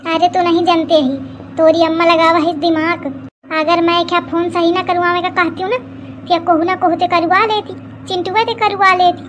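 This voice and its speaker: महिला की आवाज़ में बहुत ऊँची आवाज़ वाली अवधी सास. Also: female voice, very high voice, level tone, moderate pace, a bit bright, highs cut off, a little hiss or hum. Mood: scheming, boastful. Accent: Awadhi. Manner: scolding, gossipy, boastful. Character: plump middle-aged scheming, suspicious village mother-in-law. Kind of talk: Awadhi comedy cartoon dialogue.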